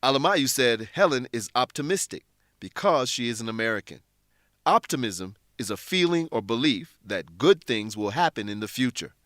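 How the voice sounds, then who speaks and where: A man narrating a scripted English lesson in a deliberate, instructional manner. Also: mid-range voice, animated, fairly bright tone, dry recording, clean studio sound.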